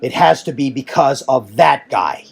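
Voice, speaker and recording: A man voicing a hot-headed male warrior who declaims in a forceful, accusatory way. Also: medium pitch, expressive, unhurried, vocal fry, slightly rough, full character voice. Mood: accusing.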